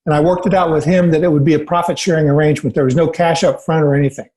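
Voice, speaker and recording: A mellow, warm-toned man in his sixties from Indiana sits as a podcast guest. He speaks in a slightly higher register, telling his life story in a steady, self-assured way, with the odd filler um. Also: rapid-fire. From Indiana, USA. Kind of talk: relaxed storytelling interview.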